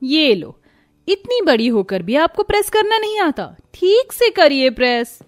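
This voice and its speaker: A woman's voice: high voice, sing-song, a little slow, soft background music, pitched above the performer's own voice. A woman voicing a spoiled, rich young bride in a cartoon moral story, with an entitled, self-pitying manner. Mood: scornful.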